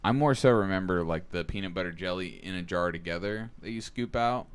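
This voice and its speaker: Deep, smooth-voiced young American man chatting. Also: a little fast. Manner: profane, slangy. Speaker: podcast co-host. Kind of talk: casual chat.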